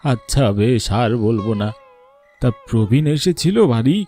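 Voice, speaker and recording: An old village husband from a cartoon, acted by a man, speaks at middling pitch. He is mild, patient and coaxing, with a touch of complaint. Faint music plays behind him. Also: lively intonation, moderate pace, dark tone, natural voice. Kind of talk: cartoon voice acting.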